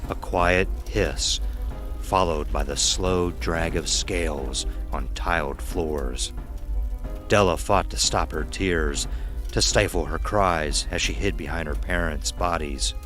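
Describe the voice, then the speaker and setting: A man narrating a scripted horror story in a measured, dramatic, suspenseful way. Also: deep voice, fairly bright tone, faint music behind.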